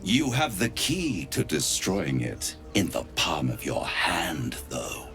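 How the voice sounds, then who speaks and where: Suave devil, low and hugely expressive. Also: male voice, faint music behind, full character voice. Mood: smug, menacing. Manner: grandiloquent, taunting, savours words with dramatic pauses. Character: suave, theatrical male devil dealmaker, smug and menacing. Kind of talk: video game character acting.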